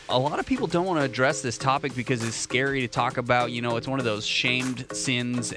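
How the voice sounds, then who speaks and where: A man, a pastor, hosting a casual faith discussion podcast in an upbeat, chatty way, laughing easily as he talks. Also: medium pitch.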